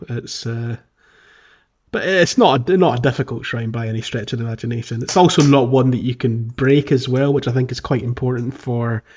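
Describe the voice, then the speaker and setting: A man co-hosting a podcast, casually discussing a video game in a halting, thinking-aloud way. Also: medium pitch, darkish tone. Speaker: podcast co-host. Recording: slightly dull, lo-fi podcast audio.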